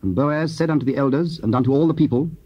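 A man giving measured, solemn scripted scripture narration. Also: mid-range voice, warm tone, slightly rough. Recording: dull old cassette tape.

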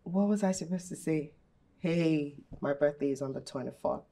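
A woman's voice: sits low, normal speed, mellow. A woman playing an emotional young employee who exclaims and stumbles over her words. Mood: surprised. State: crying. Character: young female employee, emotional and easily moved. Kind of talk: amateur skit acting.

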